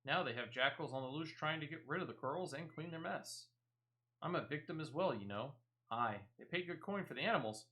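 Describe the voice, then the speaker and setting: A shifty smuggler woman from a fantasy game, acted out by a man reading her lines. The voice is bright and pitched a little up, with rustic, clipped words. She sounds evasive and wheedling, forever pleading her own case. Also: expressive, fairly quick, rough recording. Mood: grumbling, defensive. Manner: rustic dropped-letter speech, pleading and evasive. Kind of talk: video game dialogue read aloud.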